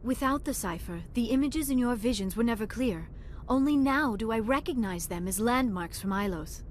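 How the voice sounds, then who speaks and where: A woman's voice: fairly high pitch, expressive, normal speed, slight background noise, lightly acted. A woman playing an earnest, scholarly alien researcher in a video game, with formal, precise speech. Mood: urgent.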